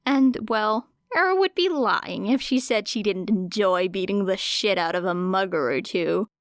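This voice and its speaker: A woman reading fiction aloud as an audiobook narrator, in an expressive storytelling style. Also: medium pitch, measured pace, no echo, studio quality. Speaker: audiobook reader of fan fiction.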